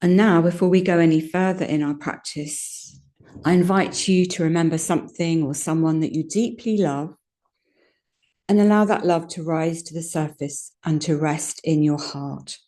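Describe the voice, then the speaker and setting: Female meditation guide, low-pitched and soft-edged, mellow tone, gentle soothing delivery with unhurried pauses. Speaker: yoga nidra meditation guide.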